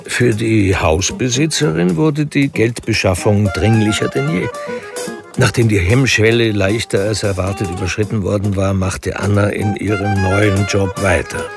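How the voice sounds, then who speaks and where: An actor as a sober, wry narrator of a crime radio drama, speaking in measured scripted prose. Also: male voice, on the low side, creaky. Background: music.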